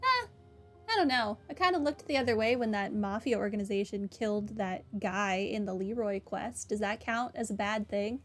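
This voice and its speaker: A woman's voice: medium pitch, animated, moderate pace, a bit bright. A woman streamer chatting casually and thinking aloud as she plays through a game. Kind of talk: casual gameplay commentary. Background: game music.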